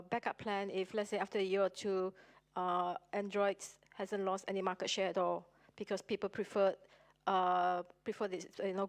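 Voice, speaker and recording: A woman working as a news agency reporter, asking a question at a press conference with hesitant restarts. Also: mid-range voice.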